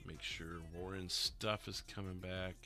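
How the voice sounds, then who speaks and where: A man chatting casually with his stream viewers, his voice a little rough and stuffy, rambling and laughing often. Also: deep voice, level tone, a little slow, pushed and bright, faint music behind. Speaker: livestreamer playing a roleplay dispatcher.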